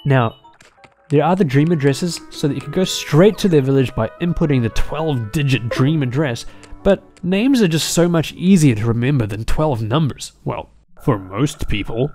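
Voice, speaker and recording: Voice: mid-range voice, expressive, normal speed, a little mellow. A video game commentator giving casual gameplay commentary in a playful, exclamatory way, with rambling asides. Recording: game music behind voice. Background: video game music.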